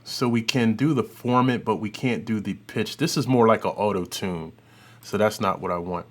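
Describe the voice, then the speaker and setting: Low-voiced man giving an informal software demo. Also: moderate pace. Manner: unscripted, thinking aloud while working. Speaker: host of an audio software tutorial. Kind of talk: informal demonstration and review commentary.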